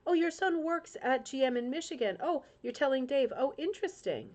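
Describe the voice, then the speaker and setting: A woman's voice: sits high, lively intonation, brisk, a little mellow. Middle-aged woman, livestream host, chatty, emotional, tag questions. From Rhode Island, United States. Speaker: host of a crafting club livestream. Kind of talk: casual livestream chat, reading viewer comments.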